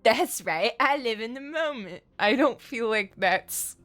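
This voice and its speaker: A woman's voice: on the high side, expressive, a little slow, fairly bright tone. A woman streamer giving casual, playful, reactive live commentary on a video game, often repeating words.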